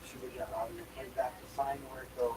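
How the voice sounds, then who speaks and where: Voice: medium pitch, level tone, brisk. A person rambling casually and bluntly to themselves, with some swearing, on a noisy amateur recording. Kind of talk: casual rambling talk, ranting.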